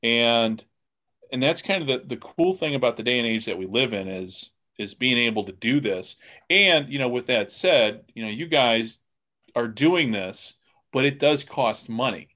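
A man's voice: mid-range voice, expressive, moderate pace, bright, forceful tone. A man hosting a casual podcast interview, talking in a rambling, folksy way as he thinks aloud. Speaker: podcast host interviewing guests. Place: remote call on a computer.

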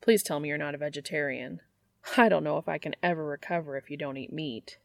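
A woman's voice: low voice, fast talker, vocal fry, dry recording, pitched below the performer's own voice. Woman voicing a wisecracking, flustered young man. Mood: nervous rambling. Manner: blurting, teasing, sarcastic. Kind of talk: audiobook character voice.

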